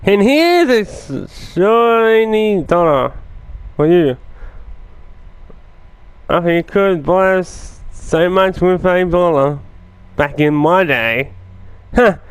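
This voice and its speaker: A man's voice: high-pitched, expressive, takes it slowly, pauses often, clear, resonant tone, no echo. A man doing let's play commentary, mumbling asides and reading on-screen text aloud. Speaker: gamer.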